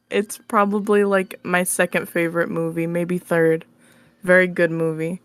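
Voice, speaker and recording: Playful woman chatting, slightly low voice. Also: level tone, measured pace, smooth, dry recording. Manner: playful, giggly. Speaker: podcast guest, ASMR roleplay creator. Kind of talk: casual chat.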